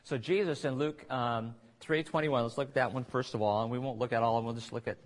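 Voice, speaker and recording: A man's voice: mid-range voice, fairly even intonation, fast talker. A man delivers a sermon in an ordinary mid-range voice. He speaks conversationally, breaking off into asides and restarting his phrases. The talk sounds like a church service recorded on tape. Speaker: preacher.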